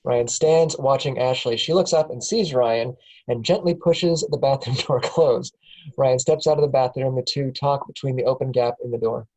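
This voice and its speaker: A woman reads a screenplay's stage directions aloud at a casual table read. Her tone is mellow and dark, and she keeps breaking into laughs as she reads. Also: very deep voice, normal speed, rough recording. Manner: laughs often while reading. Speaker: narrator reading stage directions.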